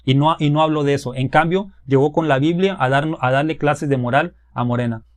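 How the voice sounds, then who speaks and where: A man's voice: fairly high pitch, fairly even intonation, brisk, no room sound. Blunt man arguing, dry close sound. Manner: blunt, accusatory, colloquial. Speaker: political debate panelist. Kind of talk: political argument.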